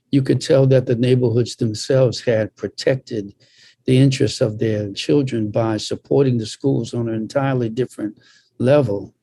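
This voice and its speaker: A man's voice: medium pitch, mellow, no room sound. An older American man from Boston earnestly sharing personal recollections as a panelist in a discussion. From Boston, United States.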